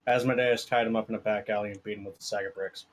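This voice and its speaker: A man playing a tabletop game on a live stream, teasing and quick to laugh. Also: on the low side, fairly even intonation. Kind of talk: joking tabletop game banter. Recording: faint background noise.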